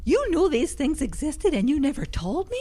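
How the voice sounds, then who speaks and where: A woman playing a rueful, excitable middle-aged woman in an audio drama, plain-spoken and self-deprecating. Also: high-pitched, normal speed. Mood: flustered, incredulous. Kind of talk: naturalistic audio drama acting.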